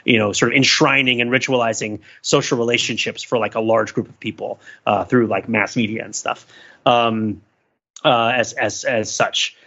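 A man with a sharp, bright voice discusses ideas as a podcast panelist. He phrases things in a wordy, intellectual way and keeps checking in with listeners. The track sounds slightly dull and lo-fi. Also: medium pitch, gravelly. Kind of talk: casual analytical discussion.

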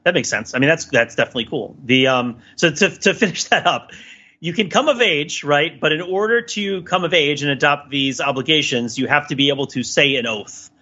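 Male podcast host, bright forceful voice, wordy casual analysis, slightly muffled recording. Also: fairly high pitch, fairly quick. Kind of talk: casual analytical discussion of a TV show.

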